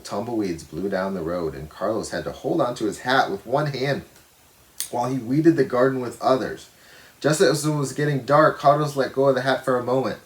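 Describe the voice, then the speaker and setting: A man reading a children's storybook aloud expressively, with simple explanatory asides for young listeners. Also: fairly low pitch. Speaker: storybook reader.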